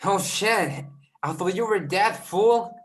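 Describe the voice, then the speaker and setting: A taunting street gang boss acted by a man in a table read. The voice is slightly high, slangy and cocky, with clear room echo. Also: slow. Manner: goading, slangy, mixes in Spanish.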